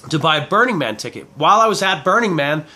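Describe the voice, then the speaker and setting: A man in his forties with a head cold, giving a casual, rambling video pitch as an online product reviewer, informally repeating and rephrasing himself. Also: sing-song, fast, pushed and bright. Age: 40s. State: head cold, clearing throat. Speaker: affiliate marketing reviewer.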